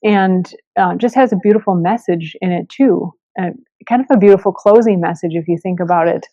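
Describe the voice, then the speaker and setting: A woman's voice: fairly low pitch, fairly quick, dark tone. A woman hosting a podcast in a conversational style, with a dull, echoey recording. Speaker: podcast host. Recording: dull, echoey room sound.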